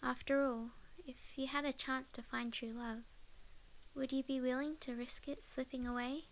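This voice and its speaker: A woman with an Australian accent playing a young lovestruck witch in a soft, intimate ASMR romance roleplay, earnest and hesitant, sometimes near a whisper. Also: sits high, flat delivery, moderate pace, low-quality recording. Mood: wistful, musing. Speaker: ASMR audio roleplay performer.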